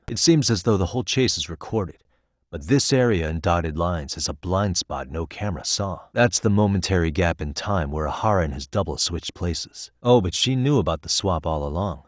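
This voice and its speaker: A dogged, cool-headed male private detective from a video game, with a low voice. He talks in a dry, conversational way with streetwise confidence. The sound is tight and free of room echo. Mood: confident, explanatory. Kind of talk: English video game voice acting.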